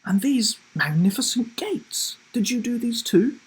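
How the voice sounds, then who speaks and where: A man's voice: extremely high pitch, lively intonation, measured pace, breathy edge, voice pushed up, heavily acted, low-quality recording. A man voicing a doting, delighted elderly grandmother from a children's storybook in a sweet, gushing manner.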